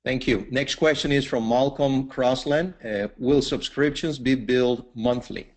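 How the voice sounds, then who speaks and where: A man's voice: mid-range voice, measured pace. Brief, businesslike male moderator. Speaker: moderator reading out audience questions. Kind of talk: reading questions in a live Q&A.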